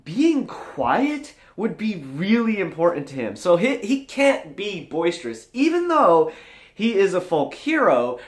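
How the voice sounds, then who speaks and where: An upbeat, high-energy man chatting casually as a vlog host, his voice moving animatedly up and down. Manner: animated, high-energy, rambling with swooping register. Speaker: vlogger and host. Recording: echoey room.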